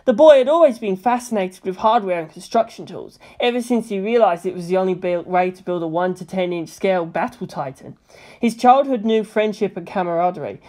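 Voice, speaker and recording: A woman's voice: lowish voice, mellow. A woman reading scripted fan fiction narration as an audiobook narrator.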